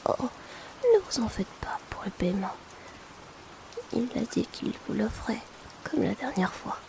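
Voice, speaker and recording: A woman's voice: fairly high pitch, sing-song, unhurried. A woman playing a polite, chatty, discreet potion delivery assistant in a whispered ASMR roleplay, hesitant and confiding. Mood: friendly, playful.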